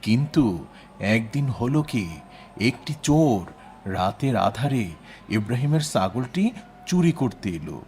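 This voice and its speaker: A man's voice: medium pitch, big pitch swings, a little slow, pauses often, a little mellow, slight background noise. A man narrating a children's cartoon story. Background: music.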